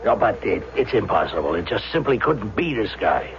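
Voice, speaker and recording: An actor playing a harried, skeptical homicide lieutenant with a stammering, incredulous manner in a 1970s radio drama. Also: male voice, on the low side, sing-song, moderate pace. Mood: flustered, defensive. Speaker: police detective. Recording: dull vintage radio recording, faint noise.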